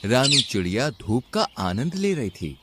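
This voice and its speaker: A man's voice: medium pitch, very animated, a little slow, a bit bright, a little hiss or hum. A man narrating a scripted animal fable as a storyteller for a cartoon.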